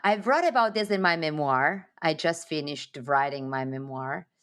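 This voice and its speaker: Woman, Italian accent, low pitch, expansive and enthusiastic storyteller, podcast interview. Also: moderate pace, a bit bright. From Sardinia, Italy. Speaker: podcast guest. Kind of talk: interview conversation.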